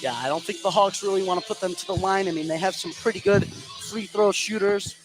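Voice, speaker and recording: A man's voice: high-pitched, brisk, over music, low-quality recording. A man giving live play-by-play commentary on a basketball game, as on sports radio. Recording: clear game noise behind the voice. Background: gym ambience of a basketball game.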